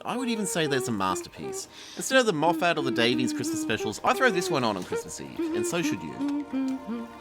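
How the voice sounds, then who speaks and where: An Australian man narrating a scripted review with informal asides, in a wry, blunt, colloquial manner. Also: fairly high pitch. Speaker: video essay reviewer. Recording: music behind the voice. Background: background music.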